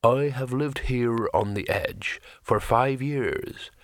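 A man voicing a huge sea troll in an audiobook story, speaking slowly and heavily, weary and menacing. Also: medium pitch, no room sound. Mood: slow, melancholy. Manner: slow, deliberate, heavy delivery.

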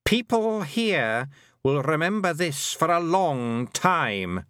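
A man's voice: fairly high pitch, big pitch swings, takes it slowly, fairly bright tone, clean tone, no echo, clean studio sound, voice pushed up, full character voice. A man voicing an imperious fairy queen in a storybook, haughty and demanding, with a sweetly menacing condescension. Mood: sweet menace.

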